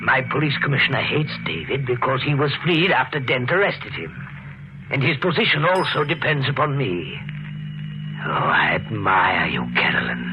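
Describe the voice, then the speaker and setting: An actor playing a sadistic, cultured killer in a 1950s radio thriller, with a taunting, deliberate, theatrical delivery. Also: male voice, medium pitch, lightly acted. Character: sadistic, gloating homicidal maniac, man, cultured killer. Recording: muffled old radio broadcast, music behind.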